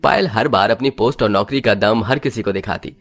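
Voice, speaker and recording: A man's voice: on the low side, fairly quick, fairly bright tone. A man narrating a scripted moral story in a dramatic storytelling style.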